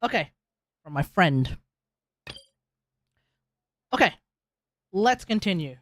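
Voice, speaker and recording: A woman's voice: on the low side, sing-song, slow pace. A woman live streaming a game, chatting casually and rambling as she thinks aloud. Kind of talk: casual gameplay livestream chat.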